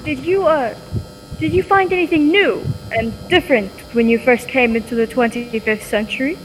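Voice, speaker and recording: A woman's voice: high voice, moderate pace, lightly acted. A young woman playing an eager, inquisitive young soldier heroine in an old-time radio serial. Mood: curious. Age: young adult. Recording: echoey hall with background noise.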